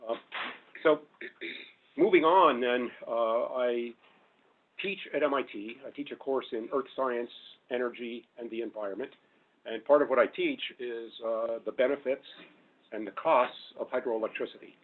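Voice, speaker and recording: A middle-aged man giving a panel talk as a professor, loud-voiced and hesitant, full of fillers. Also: mid-range voice, moderate pace, slight background noise. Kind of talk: public talk with slides. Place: meeting room. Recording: phone-like narrow audio.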